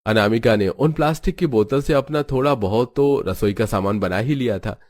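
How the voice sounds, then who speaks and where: A man's voice: medium pitch, normal speed, a little mellow. A man giving scripted narration of a story.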